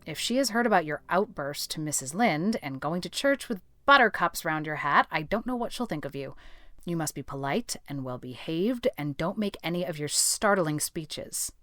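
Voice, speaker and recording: A woman playing a stern, practical older guardian in an audiobook drama, brisk, scolding and no-nonsense, yet caring underneath. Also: deep voice, lively intonation, fairly bright tone, no room sound, clean studio sound. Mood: brusque reassurance. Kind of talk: audiobook drama character acting.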